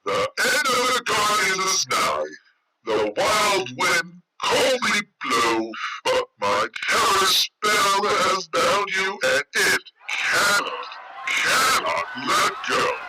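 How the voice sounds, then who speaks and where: A man playing a menacing monster who declaims spells in theatrical, drawn-out verse. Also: high voice, big pitch swings, far from the mic, very noisy, heavily acted. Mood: menacing, gloating.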